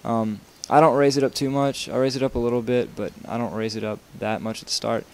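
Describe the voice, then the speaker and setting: A man's voice: medium pitch, steady tone, fairly quick, a little mellow. A young man talking casually over his gameplay while showing how to build a track, rambling with lots of fillers and restarts. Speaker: video game tutorial maker.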